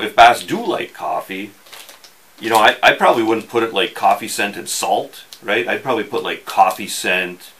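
Bright-voiced, low-pitched middle-aged man, folksy. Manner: folksy, wry, halting delivery. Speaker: host of an outdoors fishing channel. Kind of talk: casual talk to camera. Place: small indoor workshop.

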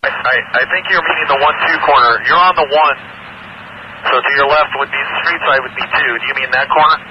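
A man's voice: on the high side, steady tone, brisk, dull audio. A man giving terse, clipped tactical orders over a police radio, in a procedural, commanding manner. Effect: police radio transmission. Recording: noisy static-laden police scanner radio. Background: heavy radio noise.

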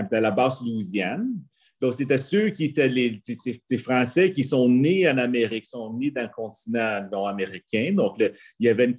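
A man from northern Alberta with a Franco-Albertan French accent, an anthropology professor speaking on a video call panel, rambling with many restarts as he tells stories. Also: mid-range voice. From northern Alberta, Canada.

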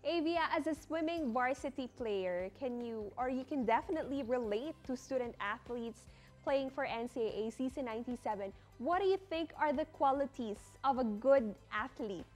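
A woman's voice: sits high, animated, normal speed, darkish tone, faint music behind. A woman hosting a morning TV show from a studio, upbeat and cheerful as she interviews guests. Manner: upbeat, cheerful presenter delivery. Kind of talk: bright morning TV interview, mixing English and Filipino. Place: TV studio set.

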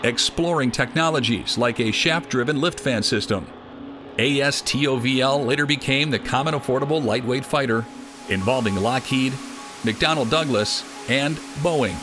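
A man giving scripted documentary narration in an even, measured delivery. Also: medium pitch. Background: music.

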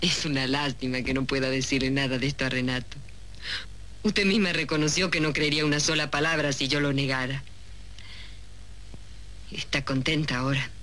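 An actress playing an ambitious young woman in a 1970s soap opera, speaking in a deliberate, dramatic way. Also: deep voice, bright, forceful tone. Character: ambitious, cold young woman from a humble neighbourhood. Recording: noisy old 1970s TV soundtrack.

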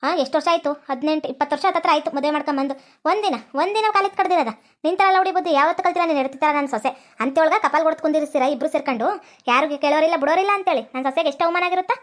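Woman playing a shrill old village mother-in-law. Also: close to an everyday voice. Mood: scolding. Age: older. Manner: shrill, rapid, scolding. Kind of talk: comedy skit acting.

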